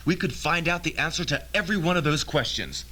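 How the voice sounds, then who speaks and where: Man playing brash impatient physicist, highish voice. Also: lively intonation, brisk, background noise, lightly acted. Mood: eager, insistent. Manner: insistent, curt, emphatic. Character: brash, impatient, arrogant young male physicist. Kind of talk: old-fashioned radio drama acting.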